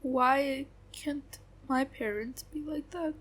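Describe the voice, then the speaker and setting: A woman playing a young adult best friend who speaks in a halting, subdued way with long pauses, venting about her family. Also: sits high. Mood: hurt. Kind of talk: audio roleplay acting.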